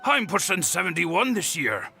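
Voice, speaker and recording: Sharp-edged grumpy old man. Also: sits high, sing-song, normal speed, vocal fry, faint music behind, exaggerated voice. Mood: gruff. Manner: curmudgeonly, blunt. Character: gruff, grumpy seventy-year-old man, sharp and stubborn. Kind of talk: video game voice acting.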